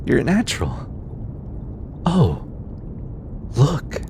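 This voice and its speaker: A gentle, flustered alien scientist played by a young man speaks close and personal to the listener in an audio roleplay. The delivery is slow and hesitant, with stammers and an animated, swinging melody. A clear rumbling noise sits behind the voice. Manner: hesitant, stammering, soft-spoken.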